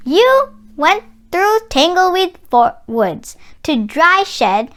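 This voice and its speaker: A woman voicing a kindly old grandmother in a storybook, gentle, steady and encouraging. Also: sits high, full character voice.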